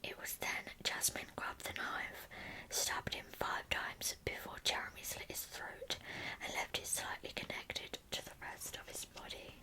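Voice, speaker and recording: A woman narrating a true crime story as ASMR, in a breathy, unvoiced whisper close to the microphone with slow, deliberate storytelling. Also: bright, forceful tone, poor audio.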